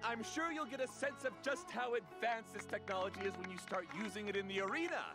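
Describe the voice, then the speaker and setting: A man's voice: high-pitched, expressive, fast. A man playing a polite, long-winded, nerdy inventor who explains formally and eagerly in a video game. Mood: flustered, polite. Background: plucked-string game music.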